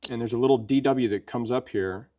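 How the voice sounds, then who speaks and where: A man's voice: mid-range voice, brisk, mellow, highs cut off, a little hiss or hum. A man presenting a gear review in a casual, folksy, conversational way, as if showing a friend how it works. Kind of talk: casual product demonstration chat.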